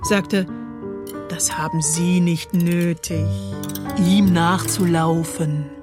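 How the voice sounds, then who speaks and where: A woman reciting literary prose in measured, deliberate phrases, as a spoken-word piece. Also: on the low side, steady tone. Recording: clear music bed behind voice. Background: music.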